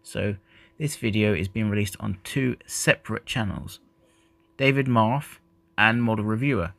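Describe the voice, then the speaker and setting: A man's voice: on the low side, measured pace, vocal fry, fairly bright tone, dry recording. A man who is not a native English speaker, chatting casually while unboxing a model train, rambling and correcting himself.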